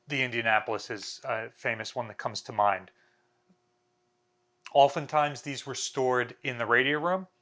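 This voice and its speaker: A man's voice: mid-range voice, sharp tone. An American man in his thirties giving an informal explainer to camera as a host, casual in manner with wry, dry humour. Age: 30s. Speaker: museum video host. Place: small bare indoor room.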